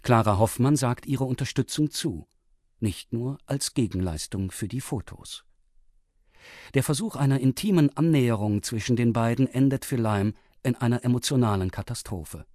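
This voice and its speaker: A man's voice: medium pitch, animated, moderate pace, a little mellow, no room sound, clean studio sound. A man reading a scripted, factual story recap as a radio announcer, formal and precise. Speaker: announcer summarising the story so far.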